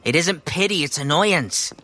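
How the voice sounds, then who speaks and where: Casting: stubborn teen boy hero, bright edgy voice, very expressive, audio drama. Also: a little high, unhurried. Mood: annoyed, pressing. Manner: emotive, pressing with questions. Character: earnest, irritable teenage boy hero, stubborn and curious.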